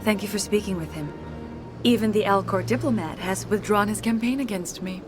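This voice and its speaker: A serene mystical elder woman, voiced by an actress, speaking calmly and formally. Her speech is solemn and unhurried. Game music plays behind her. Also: medium pitch, close to an everyday voice.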